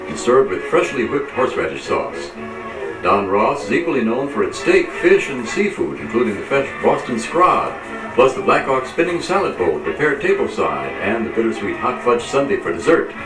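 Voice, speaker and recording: A man's voice: mid-range voice, roomy sound. An American man reading scripted radio sponsor announcements, picked up at a distance with music behind him.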